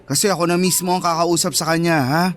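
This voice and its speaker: A protective teenage Filipino boy, played by a man in a radio drama. His voice is high for a male, earnest and fair-minded. Quiet music runs behind him. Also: little pitch movement, quick pace, close to an everyday voice. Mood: gentle, reassuring. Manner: earnest, reassuring.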